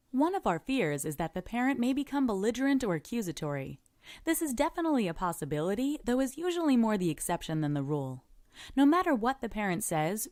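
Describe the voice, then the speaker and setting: A woman presenting scripted instructional narration, giving encouraging, clearly structured advice as a podcast presenter. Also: mid-range voice, a little mellow, no echo, studio quality.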